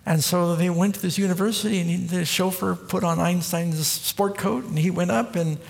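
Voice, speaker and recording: A man preaching a sermon in a fairly high voice, the sound ringing out in a long hall reverb. He tells stories in a folksy, conversational way, breaking into acted-out dialogue and quoting scripture. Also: fairly even intonation, normal speed, creaky. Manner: folksy storytelling, quotes scripture, acts out dialogue. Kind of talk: sermon with storytelling.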